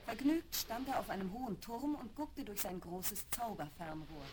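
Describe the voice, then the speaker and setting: A woman's voice: medium pitch, moderate pace. A woman narrating a scripted fairy tale as the storyteller of a children's audio play. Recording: very noisy old vinyl record rip.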